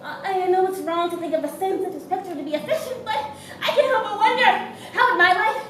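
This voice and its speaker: A woman acting a naive, excitable young woman in a stage play, giggly and chatty, with theatrical delivery. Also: very high voice, fast. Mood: wistful, wondering. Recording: echoey noisy theater, distant mic.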